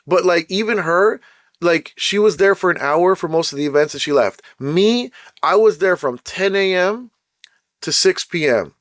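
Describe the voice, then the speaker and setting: Host, man, casual blunt rant, slightly high voice, hoarse and fading, dry close sound. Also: expressive, normal speed, a bit bright, no echo. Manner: blunt, emphatic, repeats points. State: losing his voice, throat-clearing. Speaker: channel host. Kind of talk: casual ranting commentary.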